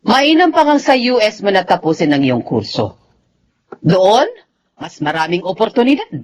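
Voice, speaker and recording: A woman's voice: medium pitch, sing-song, normal speed. An actress with a Filipino accent playing a stern, snobbish, controlling middle-aged mother in a radio drama. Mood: firm, persuading. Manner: commanding, disdainful.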